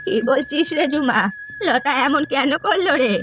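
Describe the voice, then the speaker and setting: A woman voicing a kindly, doting old village grandmother in a cartoon story, with a drawn-out, soothing manner. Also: sits high, fairly bright tone, faint music behind. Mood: alarmed concern. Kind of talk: Bengali cartoon story voice acting.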